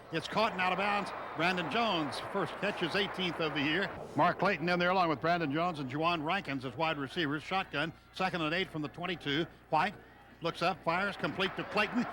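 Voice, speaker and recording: High-voiced American football play-by-play man. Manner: clipped running description in short phrases. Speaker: radio play-by-play announcer. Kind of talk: live American football radio commentary. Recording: noisy live stadium radio broadcast.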